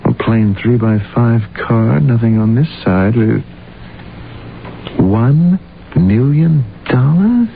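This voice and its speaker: A man's voice: mid-range voice, expressive, takes it slowly, vocal fry. An actor playing a deferential, hesitant male secretary in an old-time radio drama. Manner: polite, hesitant, obliging. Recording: phone-like old radio sound, faint noise.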